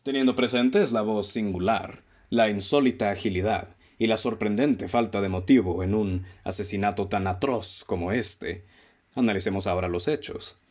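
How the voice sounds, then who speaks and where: Actor as low-voiced, methodical detective, muffled recording. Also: male voice, darkish tone, lightly acted. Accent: Mexican. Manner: didactic, deliberate, formal. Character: analytical male detective, methodical, composed, leading his listeners. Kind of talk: radio drama acting, formal literary dialogue. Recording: dull, muffled lo-fi audio.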